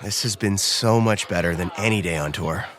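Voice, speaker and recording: A man's voice: sits low, moderate pace, fairly bright tone. An actor playing a playful, flirty, slightly awkward man on a date in a romcom audio drama, with a hesitant, joking delivery. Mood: flirty, nervous.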